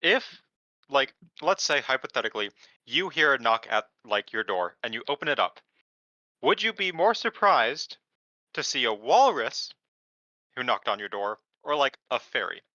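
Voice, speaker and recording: A man's voice: medium pitch, sing-song, normal speed, pushed and bright, no room sound. A man hosting a group stream in playful, casual chat, on a slightly muffled close mic. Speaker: stream host.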